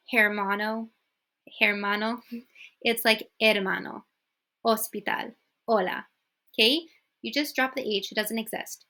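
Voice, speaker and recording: A young woman, a native English speaker, giving an upbeat casual video lesson, slowly repeating examples and checking in with "okay?". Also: a little high, bright, forceful tone. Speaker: Spanish teacher.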